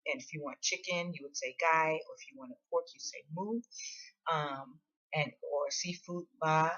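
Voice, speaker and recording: Low-voiced woman, informal how-to talk. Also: normal speed, a bit bright, dull audio. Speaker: host of a how-to video on ordering food. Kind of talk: casual explanatory voice-over.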